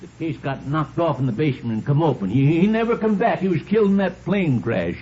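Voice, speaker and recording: Radio crime drama, 1950s: resentful, uneducated male crook, whiny, husky, very animated pitch, ungrammatical folksy lines. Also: quick pace. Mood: defensive, agitated. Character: shifty, resentful, uneducated thief and anonymous caller. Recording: dull vintage radio recording.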